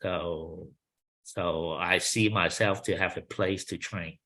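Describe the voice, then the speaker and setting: A man's voice: low-pitched, steady tone, slow pace, sharp tone. A man with a Chinese accent, a kung fu instructor speaking in an easy, reflective way and often adding "you know". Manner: thoughtful, frequent you-knows, light laughter. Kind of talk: casual conversational interview.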